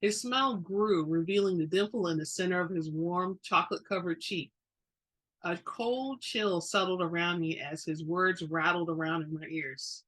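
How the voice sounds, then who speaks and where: A woman narrating a story in an expressive, theatrical way, as the reader and host. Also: on the low side, moderate pace, low-quality recording. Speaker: book reader and channel host. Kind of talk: dramatic audiobook narration.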